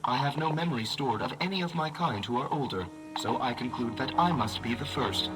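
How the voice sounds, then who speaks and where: A man's voice: medium pitch, lively intonation, a little fast, close to an everyday voice, poor audio. Man as a lilting, courteous clockwork automaton. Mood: cheerful, earnest. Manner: formal, courteous, quaintly precise. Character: polite, servile ancient male clockwork automaton, quaint and earnest. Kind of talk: video game character dialogue. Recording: game music over the voice. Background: loud game music.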